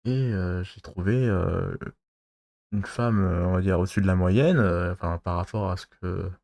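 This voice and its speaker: A man from France giving advice in a casual monologue to his viewers, halting and rambling, pausing constantly and losing his thread. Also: deep voice, vocal fry, a little mellow, dry recording. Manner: loses his thread mid-talk. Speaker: vlogger giving dating advice.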